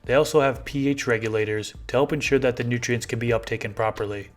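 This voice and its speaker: A man in his thirties hosting a podcast, his voice a little raspy. He reads sponsor lists in a steady way and chats casually with his guest. He speaks close on a studio microphone at home, with faint music underneath. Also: mid-range voice, fast talker. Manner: steady, list-reading delivery. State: slightly raspy voice. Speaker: podcast host. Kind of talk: read sponsor ads, then casual interview. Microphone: studio microphone on arm.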